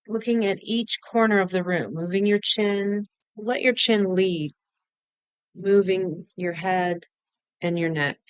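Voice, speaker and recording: A woman leading a guided meditation, giving gentle step-by-step instructions in short phrases with pauses between them. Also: mid-range voice, clean tone, dry recording. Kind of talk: guided body-scan meditation.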